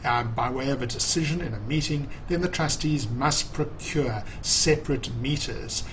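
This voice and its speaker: A man in his sixties giving a measured, formal, explanatory tutorial as a professor, reading from notes and commenting at a desk. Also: medium pitch, fairly bright tone, slight background noise.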